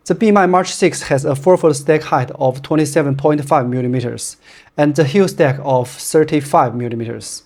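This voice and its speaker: Chinese-accented man reviewing running shoes. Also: fairly high pitch. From China. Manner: plain, straightforward, steady delivery. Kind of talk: scripted product review.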